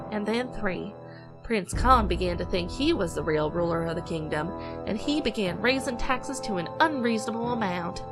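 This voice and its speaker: A woman's voice: medium pitch, lightly acted, rough recording. A woman voicing a tender, folksy mother pony, telling bedtime stories in a motherly way. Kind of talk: acted bedtime storytelling.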